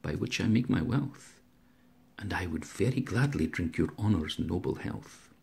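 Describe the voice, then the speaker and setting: A man's voice: low-pitched, animated, unhurried, creaky, a little mellow, breathy edge, full character voice. A man voicing a very old, mild, rambling poor odd-job man in a storybook poem. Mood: earnest.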